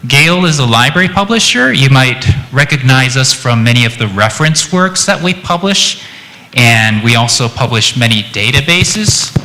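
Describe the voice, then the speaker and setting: A Japanese man with an American-influenced accent giving a chatty, lively conference presentation and joking with the audience. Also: a little high, long reverb tail, low-quality recording. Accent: American-influenced English. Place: conference hall.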